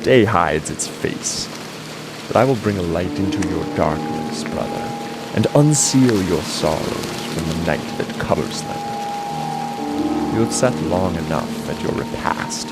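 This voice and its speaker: A man's voice: deep voice, huge pitch swings, takes it slowly, creaky, heavily acted. An actor playing a vengeful, gloating king in a classical tragedy, grandiose and theatrical. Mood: bitter, vengeful. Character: vengeful gloating king savoring his brother's ruin. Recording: music under the voice.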